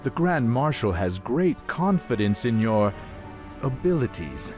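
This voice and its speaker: A smug, officious army messenger. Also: male voice, medium pitch, very animated, measured pace, darkish tone, faint music behind. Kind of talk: video game cutscene voice acting.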